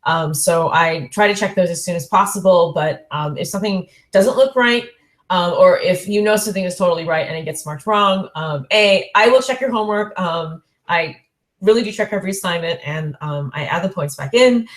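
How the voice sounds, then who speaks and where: A woman's voice: lowish voice, normal speed. Woman in her forties, online lecturer, rambling and friendly, filler-heavy, roomy echo. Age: 40s. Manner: chatty, many fillers and self-corrections; laughs lightly between points.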